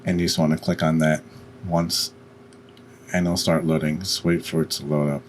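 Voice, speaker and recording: A man with a deep, low voice talks through software steps as a tutorial host. His delivery is halting, with breaks mid-sentence. Clear background noise sits behind the voice. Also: level tone. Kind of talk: step-by-step screen-recorded software tutorial.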